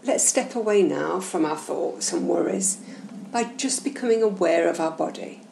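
Mellow, creaky-voiced woman guiding a meditation. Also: on the low side. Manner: gentle, measured, instructional. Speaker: guided meditation host. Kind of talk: slow, soothing guided meditation narration. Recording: roomy echo, faint background noise.

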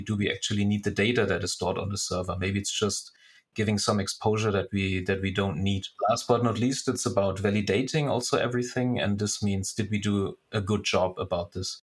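Husky low-voiced man explaining. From Germany. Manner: methodical, step-by-step explaining with restarts. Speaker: security architect guest. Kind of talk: explaining in an interview.

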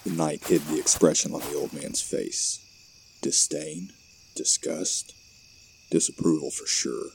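A man's voice: a bass voice, steady tone, unhurried, gravelly. A man reading scripted narration for a horror audio play, with night insects behind him. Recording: faint background noise.

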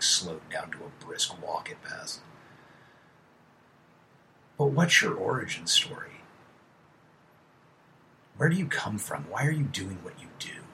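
A man's voice: on the low side, pushed and bright, a little hiss or hum. A man in his late thirties hosting a casual motivational monologue, emphatic and talking straight to the listener, sometimes dropping to a near-whisper. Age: 30s. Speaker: host.

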